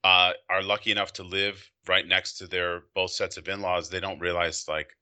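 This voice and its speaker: A man's voice: sits low, flat delivery, sharp tone, smooth. A man in his thirties hosting a company podcast, talking in a friendly, chatty way. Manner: friendly and chatty, with quick laughs. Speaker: podcast host. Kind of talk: casual interview chat.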